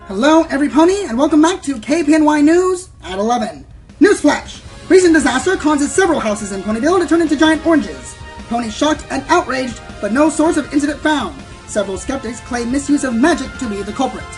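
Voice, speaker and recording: A woman's voice: sits high, animated, brisk, a little mellow. A woman playing a sensationalist pony news anchor in a radio play, theatrical and insistent. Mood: announcing urgently. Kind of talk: melodramatic newscast in an amateur radio play. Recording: music under the voice.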